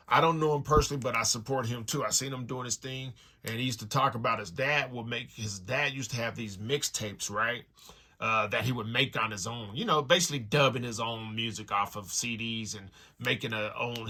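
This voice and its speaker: A man's voice: medium pitch, pushed and bright. An American man chatting casually to viewers as he hosts a collection unboxing, rambling along in slangy, conversational phrases. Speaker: music collector and online store owner hosting an unboxing. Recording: noticeable background noise with clicks.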